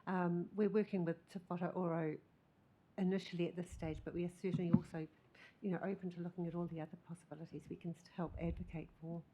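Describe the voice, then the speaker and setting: Soft-spoken nz woman at a council meeting, lowish voice, faint hiss behind. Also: flat delivery, quick pace, poor audio. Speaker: committee member.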